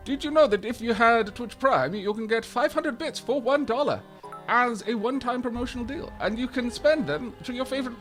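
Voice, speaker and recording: A man imitating a chirpy male chat friend who pitches a promotion in a sing-song, advert-style way. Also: brisk, fairly bright tone, faint music behind. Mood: salesy.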